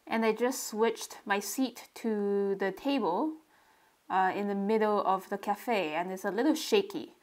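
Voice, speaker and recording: A woman narrating a relaxed drawing tutorial step by step, describing what she does as she draws. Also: mid-range voice, measured pace. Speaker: sketching tutorial host.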